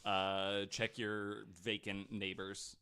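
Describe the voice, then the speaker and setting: Man, podcast host, low voice, rambling, filler-heavy, casual swearing. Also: level tone, takes it slowly, pushed and bright, clear, resonant tone.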